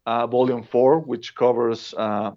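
A man's voice: mid-range voice, steady tone, measured pace, mellow. Mexican guy chatting on a podcast, stumbles and restarts a lot, kinda muffled lo-fi audio. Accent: Mexican Spanish. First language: Spanish. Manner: hesitant, many restarts and fillers, laughs often. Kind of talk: casual podcast conversation. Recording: dull lo-fi sound.

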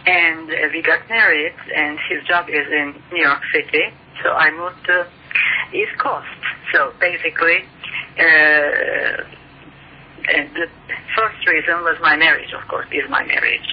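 A woman's voice: sits low, a little slow. A woman from Turkey with a Turkish accent, a native Turkish speaker, explaining her work earnestly as an interview guest. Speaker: guest author and ufologist. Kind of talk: interview over a phone line. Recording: muffled phone-line recording with faint hiss.

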